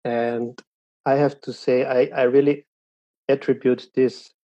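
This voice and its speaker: Slow, husky man. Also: mid-range voice, level tone, warm tone. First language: German. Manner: hesitant, pauses searching for words. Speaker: guest. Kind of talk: casual interview talk. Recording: dull lo-fi sound.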